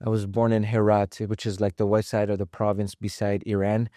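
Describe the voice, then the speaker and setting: A man in his twenties from Afghanistan, a fighter guesting in a studio, speaking calmly and reflectively with frequent pauses and fillers. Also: fairly low pitch, no echo. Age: 20s. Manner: calm, reflective, halting with many fillers. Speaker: mixed martial arts fighter, guest. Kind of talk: casual interview talk. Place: podcast studio.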